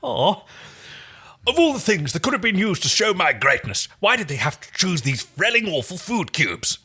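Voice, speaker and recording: A man's voice: sits high, sing-song, moderate pace, pushed and bright, breathy edge, voice pushed up, full character voice. A man voicing a vain, self-important little male alien royal who grumbles, in a story reading. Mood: forlorn.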